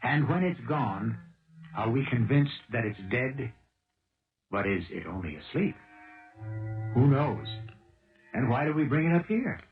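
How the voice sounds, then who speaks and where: An American man acting as a grave, musing host, narrating a 1970s radio mystery with deliberate, rhetorical delivery. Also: mid-range voice, big pitch swings. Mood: musing, portentous. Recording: tinny old radio broadcast with music.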